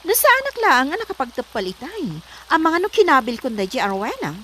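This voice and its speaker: A woman playing a high-voiced, strict lady boss. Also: very animated, fast, bright, forceful tone, slight background noise, exaggerated voice. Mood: complaining. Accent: Ilocano. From Philippines. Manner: scolding, demanding. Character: strict, suspicious, bossy lady of the house. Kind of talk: comedy drama acting.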